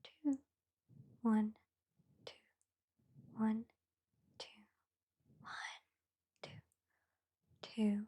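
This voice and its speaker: An aloof British vampire hypnotist, played by a woman in an ASMR roleplay. Her voice is mellow and whispery, a little high for a woman. She talks very slowly and deliberately, with a dry, dismissive edge. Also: full character voice. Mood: hypnotic, commanding. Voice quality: soft, breathy, whispery. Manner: slow, deliberate, lightly dismissive.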